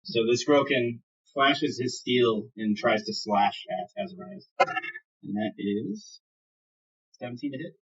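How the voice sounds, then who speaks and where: Husky guy game mastering a fantasy tabletop game, making it up as he goes, kinda muffled audio. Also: mid-range voice, a little slow, fairly bright tone.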